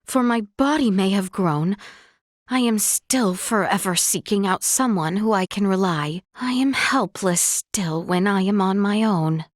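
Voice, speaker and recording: A woman voicing a gentle, earnest young royal woman in a video game, speaking in formal, old-fashioned courtly language with hesitant politeness. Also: mid-range voice, measured pace, no room sound, studio quality, natural voice. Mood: worried, reliant. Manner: formal, archaic courtly diction. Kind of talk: scripted video game character dialogue.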